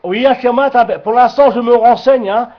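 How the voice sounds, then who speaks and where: A man's voice: very high voice, moderate pace, noisy background. A man chatting casually over amateur radio, hesitant and repetitive, often searching for his words. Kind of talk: casual radio contact chat. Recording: muffled amateur radio transmission.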